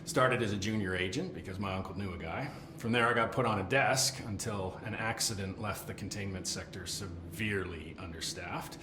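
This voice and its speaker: An actor playing a wry, jaded middle-aged government supervisor in a video game, rambling with sardonic asides. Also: male voice, low voice, normal speed, hardly any pauses, reverberant, noisy background, lightly acted. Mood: dry, grumbling. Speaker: containment sector supervisor. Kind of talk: video game cutscene acting.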